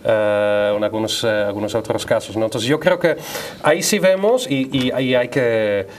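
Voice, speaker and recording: A man giving measured, somewhat halting commentary as a guest on a studio panel, often ending on tag questions. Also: mid-range voice, a little hiss or hum. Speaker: guest, press freedom advocate.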